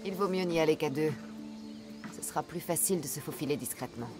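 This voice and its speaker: Une actrice de doublage jouant une guerrière mercenaire posée et pince-sans-rire dans un jeu vidéo. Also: female voice, deep voice, quick pace, over music, close to an everyday voice. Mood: composed, determined. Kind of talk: dubbed video game acting.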